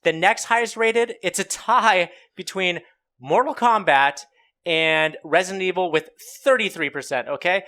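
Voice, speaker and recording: Bright, higher-voiced man hosting a podcast. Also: moderate pace. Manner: joking, informal. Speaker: podcast host steering segments.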